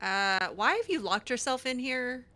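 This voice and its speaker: A woman's voice: a little high, lively intonation, a little slow, pushed and bright, soft background music. A woman role-playing a video game heroine in improvised dialogue, with a dry, sardonic, hesitant delivery. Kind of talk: improvised in-character gameplay dialogue.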